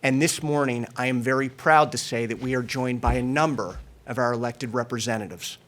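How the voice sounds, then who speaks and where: A man speaks as the host of a press conference, delivering prepared remarks. His delivery is formal and emphatic, like an association leader addressing officials, with a mid-pitched voice. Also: brisk, fairly bright tone.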